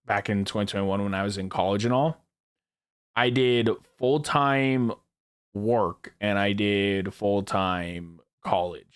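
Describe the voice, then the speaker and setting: A young man with a lowish voice hosts a loose chat among friends. He talks in a rambling way, often restarting and repeating phrases, and swears freely. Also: takes it slowly, clean tone. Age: early 20s. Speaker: podcast host.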